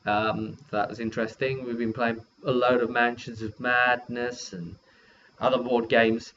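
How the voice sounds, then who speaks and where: Male game master and vlogger, casual and halting recap, middle-pitched voice, lo-fi audio with faint noise. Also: flat delivery, fairly bright tone. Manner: halting, self-correcting delivery. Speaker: game master and video host. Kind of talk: casual rambling session recap. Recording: dull lo-fi sound with faint noise.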